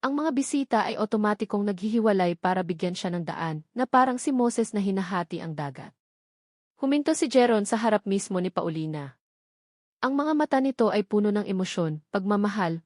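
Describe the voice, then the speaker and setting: A woman's voice: medium pitch, lively intonation, fairly quick, darkish tone, no room sound, studio quality. A woman with a Filipino accent narrating a romance story in a dramatic, scripted audiobook style.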